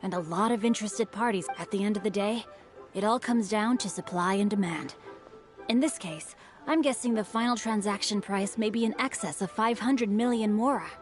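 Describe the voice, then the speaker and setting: A woman's voice: medium pitch, moderate pace, music in the background, close to an everyday voice. A woman voicing a composed, refined teenage merchant heir, a boy who speaks formally and courteously in a video game. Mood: composed, businesslike. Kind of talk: English video game voice acting.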